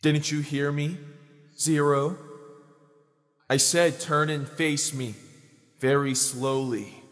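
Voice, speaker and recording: A man's voice: on the high side, fairly even intonation, slow, pauses often. A man playing a righteous, wounded young soldier in an anime dub, speaking with accusing intensity. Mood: stern, accusing. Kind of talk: anime fan dub acting. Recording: long reverb over loud music.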